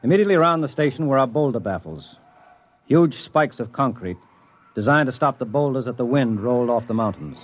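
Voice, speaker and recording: A man's voice: mid-range voice, expressive, fairly quick, slight background noise, close to an everyday voice. An actor playing a rugged, determined spaceman and narrator in a 1950s radio drama. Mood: grim, measured. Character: rugged, determined spaceman narrating his dangerous planetary expedition. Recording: dull old radio recording.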